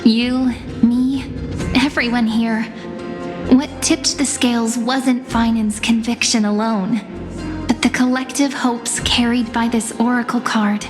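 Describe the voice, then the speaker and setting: A woman playing a gentle, hopeful young companion in a fantasy game, speaking sincerely. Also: a little high, level tone, takes it slowly. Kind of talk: dramatic fantasy game voice acting. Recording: long reverb, music behind.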